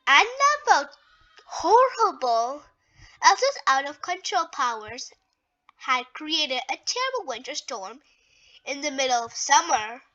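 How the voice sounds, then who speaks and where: A woman reading a children's story aloud in a deliberate, expressive way with emphatic phrasing. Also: sits high, lo-fi sound.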